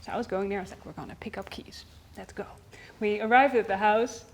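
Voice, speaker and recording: A young woman hosting a casual group chat, laughing often as she tells lively stories. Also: mid-range voice, fast. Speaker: host.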